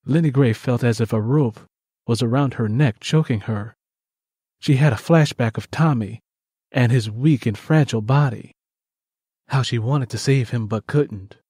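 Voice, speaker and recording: A man narrating a scripted dramatic story as an audiobook narrator, solemn and measured. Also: mid-range voice, mellow, slightly rough, no echo, studio quality.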